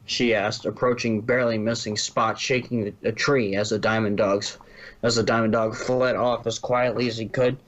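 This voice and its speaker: A man's voice: fairly low pitch, flat delivery, normal speed, lo-fi sound. A man reading scripted story narration, now and then stumbling and rereading a phrase.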